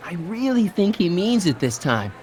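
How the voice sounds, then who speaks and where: A man's voice: high-pitched, big pitch swings, moderate pace, darkish tone. An actor playing an earnest, well-meaning American teenage boy in a video game, casual, hesitant and pleading.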